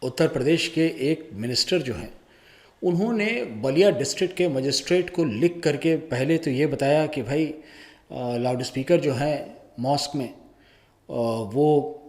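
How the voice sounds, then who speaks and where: A man presenting news commentary in an informal, opinionated way, often pausing mid-sentence. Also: mid-range voice, darkish tone.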